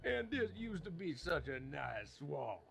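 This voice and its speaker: A man voicing a grumbling dragon in a cartoon video game, with a gravelly voice and a slow, dramatic delivery. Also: fairly high pitch, very animated, over music, heavily acted. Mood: grave warning. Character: rescued male dragon, grumbling warner about deadly swamp.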